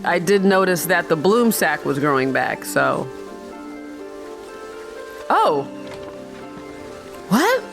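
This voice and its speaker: A woman's voice: on the low side, sing-song, slow pace, vocal fry, long pauses. A woman hosting a gaming video with casual, chatty commentary and joking, sarcastic asides. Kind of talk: casual let's-play commentary. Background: game music faintly behind the voice.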